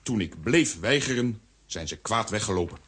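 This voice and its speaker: An actor playing a simple-minded strongman who speaks in gruff, clipped phrases, in a children's radio play. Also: fairly low pitch, animated, moderate pace, background noise, close to an everyday voice. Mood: reading aloud. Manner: clipped short sentences, refers to himself by name. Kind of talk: Dutch-language children's radio play acting.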